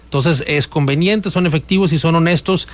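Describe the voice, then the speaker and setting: A man's voice: on the high side, normal speed. A man hosting a radio talk show, giving advice and recommending a business in an upbeat, persuasive way. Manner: upbeat, persuasive promotional delivery.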